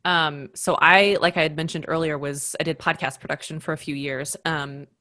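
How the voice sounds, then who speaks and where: A woman's voice: low-pitched, steady tone, moderate pace, pushed and bright, no room sound, studio quality. A woman, a voice actor and podcast producer, talking eagerly on a panel. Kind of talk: casual panel chat.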